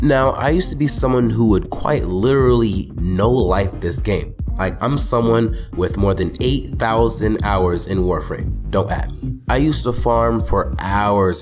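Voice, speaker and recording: Young man, hoarse, lowish voice, casual and jokey gaming commentary, music underneath. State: hoarse from being sick. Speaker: gaming YouTuber. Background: background music.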